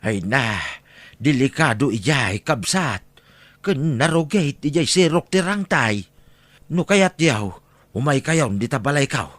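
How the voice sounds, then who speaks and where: A man's voice: medium pitch, animated, measured pace, a bit bright, dry recording. A man playing a helpful, folksy villager with an Ilocano accent and an exclamatory way of talking in an audio drama. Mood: concerned. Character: helpful, folksy male villager with a big heart.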